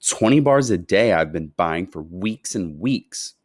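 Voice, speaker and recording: A man's voice: sits low, lively intonation, unhurried, no echo, studio quality. A man voicing a large, angry, jealous boy. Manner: angry, resentful.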